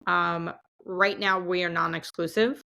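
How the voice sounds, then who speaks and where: A woman founder answering interview questions in a steady, measured way, pausing on frequent ums. Her voice sits low with little rise and fall, yet has a bright, forward tone. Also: gravelly. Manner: measured, uses frequent um fillers. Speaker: startup founder and CEO, interview guest.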